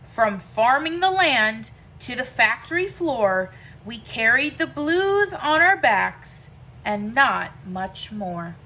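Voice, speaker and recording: Very slow, bright-voiced woman reading to children. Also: a little high, sing-song. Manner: deliberate, emphatic phrasing with pauses. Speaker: teacher reading a picture book aloud. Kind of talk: expressive children's read-aloud of rhyming verse.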